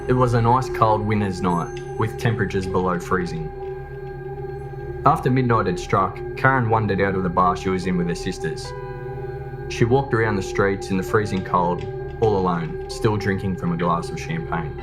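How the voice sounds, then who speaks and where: A man narrates a true crime documentary in a very low voice. His delivery is scripted storytelling, with music playing clearly behind him. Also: normal speed. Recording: music bed under the voice. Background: background music.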